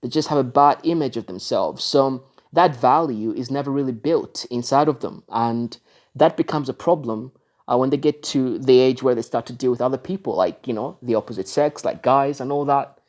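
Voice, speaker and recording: A man's voice: medium pitch, moderate pace, darkish tone. A man from Africa giving reaction commentary on a video, lecturing his viewers with frequent restarts and fillers.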